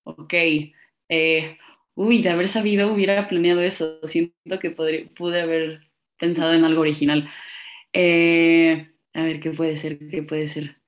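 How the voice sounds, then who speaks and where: Young woman from Monterrey, Mexico City accent, low-pitched voice, playful upbeat guest chatter. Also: level tone, measured pace. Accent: Mexico City Spanish. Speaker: podcast guest. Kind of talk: casual chat.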